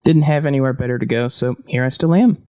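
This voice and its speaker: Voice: medium pitch, quick pace, a little mellow, close to an everyday voice. An actor playing an upbeat, scrappy ex-convict in a post-apocalyptic audio drama, breezy and playfully grandiose. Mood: wistful, matter-of-fact.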